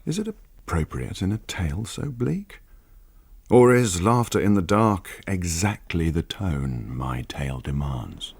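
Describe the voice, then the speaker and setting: An actor playing a brooding literary narrator of a bleak radio tale, musing and deliberate, with dramatic delivery. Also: male voice, deep voice, big pitch swings, gravelly, a little mellow, breathy edge, exaggerated voice. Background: woodwind music.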